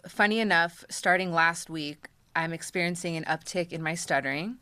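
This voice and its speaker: A woman's voice: on the low side, normal speed, pushed and bright, clear, resonant tone, dry recording. A young woman who stutters, giving a candid prepared speech and introducing speakers at a press conference. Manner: stutters with frequent sound and word repetitions; candid, light self-deprecating asides. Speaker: podcast host and press conference emcee.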